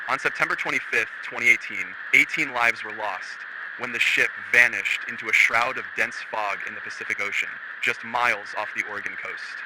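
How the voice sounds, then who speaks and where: Solemn male narrator over loud noise. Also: mid-range voice. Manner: solemn, measured. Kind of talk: solemn scripted documentary narration. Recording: loud background noise and ambience.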